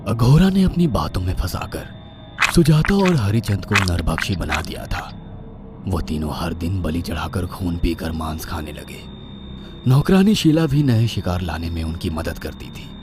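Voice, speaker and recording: A man's voice: sits low, sing-song, moderate pace. A man narrating a scripted horror story dramatically, with music playing behind him. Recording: loud background music.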